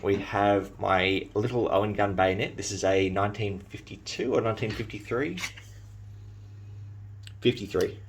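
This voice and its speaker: An Australian-accented man who grew up in New Zealand talks through his collection in a relaxed, chatty way. His voice sits on the low side, and he fills pauses with ums and uhs. A faint hiss of noise runs underneath. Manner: enthusiastic, chatty, frequent fillers. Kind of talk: casual show-and-tell vlog.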